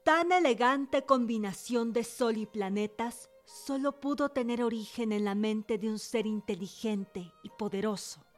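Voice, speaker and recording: A woman plays a cultured young revolutionary in a radio drama. Her voice is fairly high, assured and questioning, full of independent-minded resolve, with soft music behind it. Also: unhurried, hardly any pauses, natural voice. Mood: intellectually keen.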